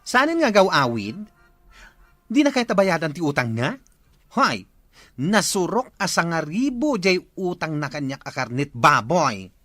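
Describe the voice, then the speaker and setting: A woman's voice: low-pitched, big pitch swings, measured pace, fairly bright tone, dry recording, faint music behind, full character voice. A woman with an Ilocano accent playing a brash, combative pork seller out collecting debts in a comedy drama. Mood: demanding.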